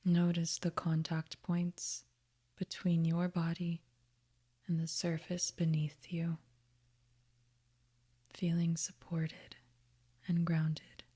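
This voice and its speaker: A woman guiding a meditation in a soft, breathy, half-whispered voice, with a gentle, soothing manner. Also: on the low side, completely flat delivery. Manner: gentle, soothing, unhurried instruction. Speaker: meditation guide. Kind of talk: guided meditation narration.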